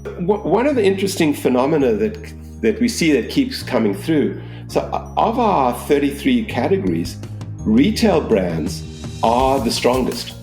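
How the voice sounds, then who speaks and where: A man in his sixties from Zimbabwe with a mixed Southern African accent, answering interview questions in a measured, explanatory way. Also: medium pitch, sing-song, a little mellow, echoey room, soft background music. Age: 60s. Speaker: business school dean, interview guest.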